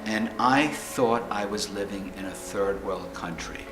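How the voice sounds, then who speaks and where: A man's voice: lowish voice, a little slow. A middle-aged man giving an earnest, emphatic account as a documentary interviewee. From Long Island, New York. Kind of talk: personal testimony. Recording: echoey room with music underneath.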